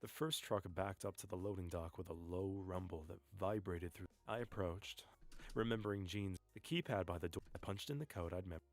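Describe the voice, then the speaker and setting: A man reading a scripted story aloud in measured takes, repeating lines one after another. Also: sits low, dry recording.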